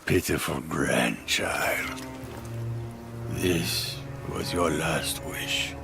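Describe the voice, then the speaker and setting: A man playing a formidable, stern old samurai grandfather in a video game cutscene, with a gravelly, weighty, commanding voice. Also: rumbling low, drawn-out words, music in the background, exaggerated voice. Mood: stern, sorrowful.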